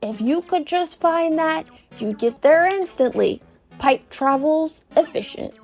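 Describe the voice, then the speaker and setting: A woman's voice: high voice, animated, unhurried, a little mellow, faint music behind. A woman voicing a shriveled old male Goomba professor from a video game, explaining things in a fussy, cautious way. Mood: musing, curious. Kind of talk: video game character read aloud.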